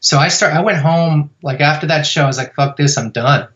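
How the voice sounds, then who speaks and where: A man's voice: a little high, fairly quick, fairly bright tone, dull audio. A man as a podcast guest, telling his story in a rambling way with many fillers and restarts. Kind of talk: casual storytelling interview.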